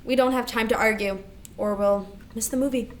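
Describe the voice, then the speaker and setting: A woman's voice: a little high, fairly even intonation, moderate pace, close to an everyday voice. A woman playing a teasing, generous teenage big sister in a staged reading of a play. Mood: affectionate, playful.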